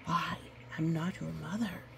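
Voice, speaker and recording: A woman reading the lines of a motherly female polar bear in a storybook, soft and measured, firm but caring. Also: sits low, steady tone, gravelly, slight background noise, pitched below the performer's own voice, poor audio. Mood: softly scolding.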